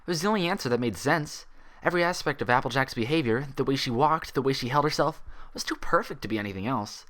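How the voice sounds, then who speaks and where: Man voicing an anxious, paranoid young mare, bright-edged. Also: medium pitch, expressive, quick pace, voice pushed up, close to an everyday voice. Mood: frantic suspicion. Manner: hesitant aloud, feverishly theorizing in thought. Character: anxious, paranoid young female pony, inwardly frantic. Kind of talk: storybook character voice in fan fiction reading.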